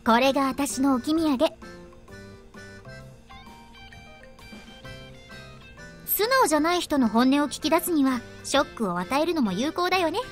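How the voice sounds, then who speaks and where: An actress playing a playful, mischievous young woman in a Japanese visual novel, with a teasing, animated manner. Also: high voice, rapid-fire, faint music behind. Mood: impish.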